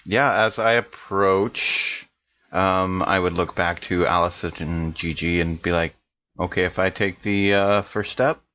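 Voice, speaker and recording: A man's voice: sits low, fairly even intonation, unhurried, pushed and bright, no room sound. A man playing a tabletop roleplaying game on a podcast, speaking casually in character, on slightly dull audio. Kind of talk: casual in-character improvised chat.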